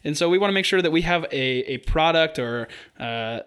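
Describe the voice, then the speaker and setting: A man's voice: on the high side, expressive, brisk, fairly bright tone, clean tone. Laid-back young man joking on a podcast. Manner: laid-back, joking, amateur delivery with many fillers. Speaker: podcast co-host. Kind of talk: casual sports podcast banter.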